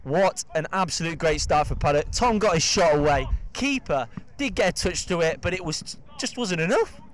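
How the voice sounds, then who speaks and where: A man's voice: on the high side, expressive, normal speed. A man giving enthusiastic football match commentary.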